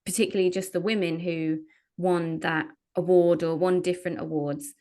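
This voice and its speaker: A woman's voice: lowish voice, fairly even intonation, moderate pace, warm tone. A woman in her thirties, an entrepreneur answering interview questions with many fillers and restarts. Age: under 40. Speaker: entrepreneur guest.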